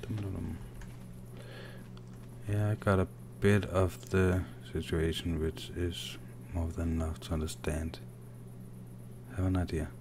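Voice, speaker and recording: A low-voiced Danish man talks slowly through a game stream, murmuring to himself as he plays and answering viewers. Faint game ambience sits behind him. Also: flat delivery, background noise. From Denmark. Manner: soft-spoken and unhurried, muttering while playing. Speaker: gaming streamer. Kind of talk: live gameplay commentary reading chat.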